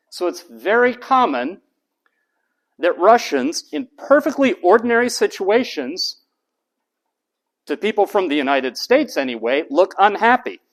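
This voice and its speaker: A man giving a university lecture to a class, asking rhetorical questions and answering them himself. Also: high-pitched.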